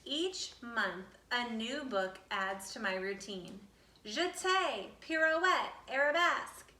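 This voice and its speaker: A woman reading a children's picture book aloud expressively, with deliberate, dramatic phrasing. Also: fairly high pitch, expressive, bright, forceful tone. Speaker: storybook reader. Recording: roomy echo, distant from the mic.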